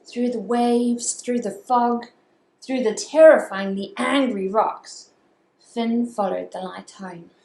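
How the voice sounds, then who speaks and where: A woman reading a children's story aloud as a storyteller, with expressive, drawn-out dramatic phrasing. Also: fairly high pitch, gravelly. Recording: echoey room with faint background noise.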